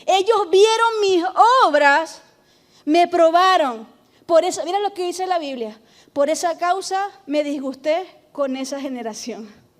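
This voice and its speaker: A woman pastor preaching a sermon, emphatic and loud, calling on the congregation to repeat after her. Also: extremely high pitch, animated, a little slow. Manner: shouting, emphatic preaching with call-and-response.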